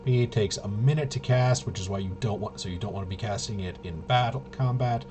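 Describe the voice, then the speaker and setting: A man with a fairly low voice talks through a tabletop game in a casual, improvised way. He is halting and often mutters to himself as he thinks aloud. The recording has clear background noise. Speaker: game master demonstrating a tabletop RPG. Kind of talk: casual improvised narration of solo tabletop gameplay.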